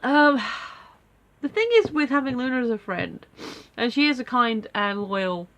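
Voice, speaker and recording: A woman's voice: on the high side, animated, measured pace, fairly bright tone, muffled recording. A woman with a stuffy nose from a cold, rambling casually with many fillers in a daily video diary from home. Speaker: vlogger.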